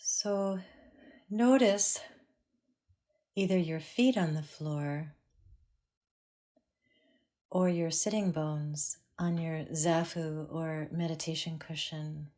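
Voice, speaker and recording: A woman teaching a guided meditation in a calm, measured way with long pauses. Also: low-pitched.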